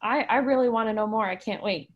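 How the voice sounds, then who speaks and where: A woman's voice: on the high side, quick pace, muffled recording, noisy background. Upbeat woman hosting a video-call panel. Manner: enthusiastic, encouraging, chatty. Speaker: panel moderator.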